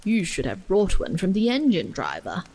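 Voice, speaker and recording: Storybook train guard, curt, stern, slow clipped orders, read by a woman. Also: lowish voice, wildly swooping pitch, dark tone, slightly rough, exaggerated voice.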